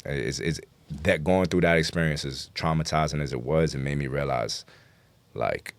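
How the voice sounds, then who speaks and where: A man's voice: a bass voice, normal speed, clean tone, dry recording. A man with a New York accent chatting casually as an interview guest, telling stories emphatically and with lots of slang. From New York City. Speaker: guest rapper.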